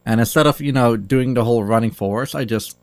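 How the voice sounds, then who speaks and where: A man's voice: mid-range voice, fast talker, slight background noise. A man giving casual, rambling live commentary as he speedruns a game, with a self-deprecating manner. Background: game music.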